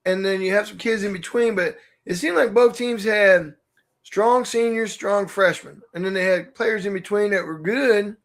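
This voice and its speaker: Coach guy, high gravelly voice, thinks out loud about the rules. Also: normal speed. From Oklahoma. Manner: thoughtful, searching for words. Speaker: basketball coach. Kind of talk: casual sports chat.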